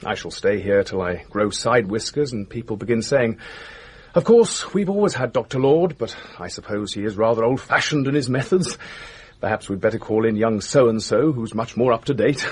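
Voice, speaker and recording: Good-natured English country doctor, radio drama: husky, animated, self-deprecating. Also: male voice, medium pitch, normal speed. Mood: wry. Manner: self-deprecating, gently hesitant. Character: modest, good-natured male village doctor.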